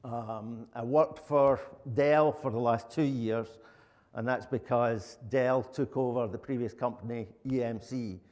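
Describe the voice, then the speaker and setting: A man's voice: mid-range voice, steady tone, unhurried, a little mellow. Glaswegian man presenting in a reverberant hall. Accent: Glaswegian Scottish. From Glasgow, Scotland. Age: middle-aged. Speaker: conference speaker, lead software architect. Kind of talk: conference presentation. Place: conference room, indoors. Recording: echoey hall with long reverb.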